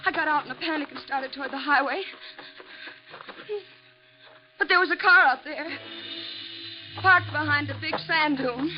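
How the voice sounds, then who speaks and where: An American actress playing a terrified, haunted young woman in a 1950s radio drama, halting and stammering breathlessly. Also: high-pitched, over music, natural voice. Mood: panicked. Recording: muffled, noisy vintage radio recording.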